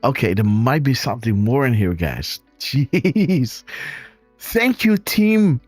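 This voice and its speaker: Excitable Dutch-accented man hosting a stream. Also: mid-range voice, slow pace, husky, dry recording, faint music behind. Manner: excitable, exclaiming, talks to viewers as guys. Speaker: live show host. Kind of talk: casual live-stream commentary on images.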